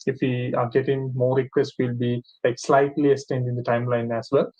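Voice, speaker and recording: A man's voice: medium pitch, flat delivery, a little fast, darkish tone, highs cut off. Hesitant Sri man hosting a webinar. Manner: hesitant, many fillers. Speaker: host. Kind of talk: webinar moderation.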